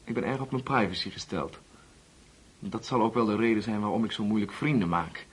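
Young lonely orphan, very breathy husky voice, halting, apologetic, polite, radio play. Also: male voice, on the low side. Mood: hesitant, confiding. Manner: halting, apologetic, with self-corrections. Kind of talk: Dutch radio play acting.

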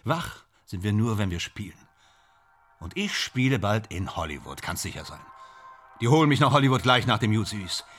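A man's voice: fairly low pitch, sing-song, moderate pace, fairly bright tone, soft background music. A man playing a boastful, ambitious actor in a German radio drama, speaking in theatrical, self-dramatizing fragments. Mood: dreamy, boastful. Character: boastful, ambitious male stage actor dreaming of Hollywood stardom.

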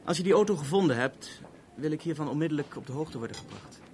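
An urbane French police officer in an old radio play, heard through a noisy recording. He is wordy and measured, dryly ironic, with a cool edge of warning in his formality. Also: fairly high pitch, lively intonation, a little mellow. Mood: coolly warning. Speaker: radio drama actor. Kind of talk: 1970s Dutch radio drama acting.

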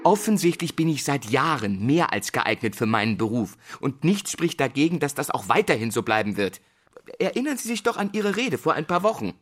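A man's voice: medium pitch, big pitch swings, fairly quick. An actor playing a gifted young male teacher in a drama, defensive and brittle, clipped and proudly self-assured. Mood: defiant. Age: young adult. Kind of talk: German stage-style radio play dialogue.

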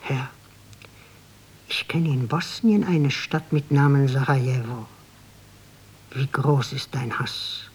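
A secretive woman conspirator in a radio drama. Her voice is very low and husky, hushed and deliberate as she coolly probes and stirs up revenge. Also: exaggerated voice. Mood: conspiratorial. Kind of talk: German radio drama acting.

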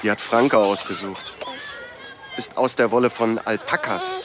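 Anxious young dad, swooping pitch. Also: male voice, huge pitch swings, normal speed, background noise. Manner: polite, hesitant. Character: meek, anxious young father, polite subordinate under his wife's thumb. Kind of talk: radio drama satire.

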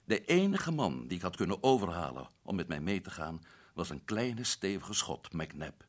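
Earnest, urgent hunter, husky low voice, lively inflection, radio drama. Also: male voice, normal speed. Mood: narrating. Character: adventurous male hunter back from Africa. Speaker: radio play actor. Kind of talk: 1960s radio drama acting.

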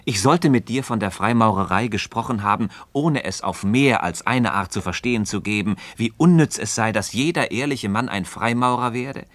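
Male actor as composed philosophical mentor for radio play: lowish voice, measured and didactic, gently ironic, dry studio sound. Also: no room sound, close to an everyday voice. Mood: calm, corrective. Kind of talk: mid-century German radio play acting of philosophical dialogue.

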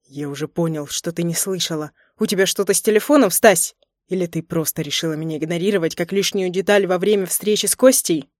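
A woman's voice: fairly low pitch, brisk, dry recording, studio quality, natural voice. Woman acting a stern, jealous, sarcastic young husband. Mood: ironic, accusing. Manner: biting, sarcastic, growling delivery.

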